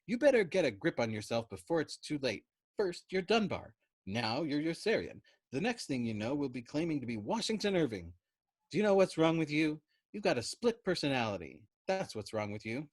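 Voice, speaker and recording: A man's voice: on the high side, sing-song, fairly quick, husky, no room sound, voice pushed up. A man voicing a scornful, belligerent army psychiatrist major in an audiobook, pompous and accusing as he browbeats. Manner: emphatic, contradicting, browbeating.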